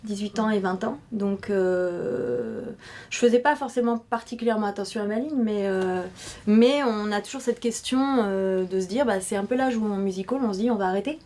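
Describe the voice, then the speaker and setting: A woman in her thirties from Paris talks about her stage life in a relaxed interview. She hesitates often, with filler sounds and restarts, at an ordinary middle pitch. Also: normal speed. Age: 30s. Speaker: showgirl and actress. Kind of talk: casual interview chat.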